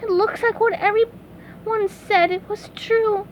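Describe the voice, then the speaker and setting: A woman voicing an excitable, anxious young male cartoon sea sponge with a dramatic, exclamatory way of talking. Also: very high-pitched, takes it slowly, slight background noise, pitched above the performer's own voice. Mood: weak, resigned. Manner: exclamatory, dramatic, stammering when upset.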